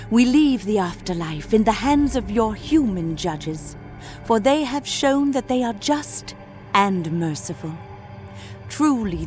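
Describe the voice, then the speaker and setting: A gentle, sorrowful spring goddess for a video game. She speaks slowly and formally in a fairly high, solemn voice, over faint music. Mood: solemn, grateful. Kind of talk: scripted game cutscene acting.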